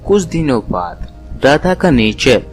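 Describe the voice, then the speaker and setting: Male narrator, medium pitch, scripted storytelling, faint music behind the voice. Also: sing-song, measured pace.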